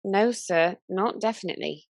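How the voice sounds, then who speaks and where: Female actor, deferential young-lady waitress role, careful, polite, middle pitch, radio drama, dry recording. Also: normal speed, dry recording, lightly acted. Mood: uncertain. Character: deferential, hesitant waitress witness. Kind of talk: period radio play acting.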